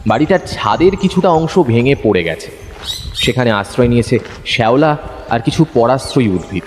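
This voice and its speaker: A detective's curious, observant companion, played by a man recounts a mystery for an audio story. His delivery is very animated, with lively swings of pitch. The voice rings in a long hall-like reverb. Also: normal speed, close to an everyday voice. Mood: storytelling. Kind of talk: dramatised first-person story narration. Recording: long hall reverb, faint background noise.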